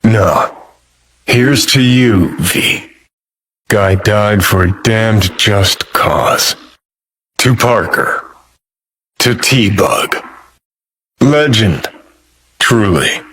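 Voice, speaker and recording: A man's voice: on the low side, extremely slow, long pauses, fairly bright tone, breathy edge, heavily acted. An American man playing a cynical, world-weary rebel rock star in a video game, with a sardonic, clipped drawl and plenty of swearing. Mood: solemn. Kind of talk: video game character dialogue.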